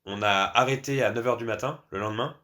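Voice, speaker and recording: A man's voice: medium pitch, fast talker, fairly bright tone. A man with an accent from France, a podcast guest telling personal anecdotes in a casual, conversational way. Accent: French from France.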